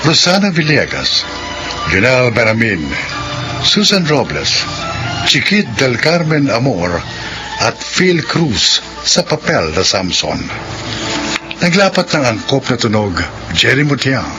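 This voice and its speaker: Slow, animated male radio announcer. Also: medium pitch, poor audio. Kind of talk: scripted closing narration and credits reading. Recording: music behind the voice.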